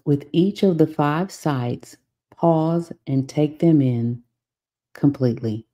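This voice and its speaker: A woman guides a meditation in a very deep voice for a woman. Her tone is extremely soft-edged and dark, and she speaks slowly. She gives soothing, measured instructions and leaves long pauses between phrases. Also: muffled recording. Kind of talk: guided meditation, scripted narration.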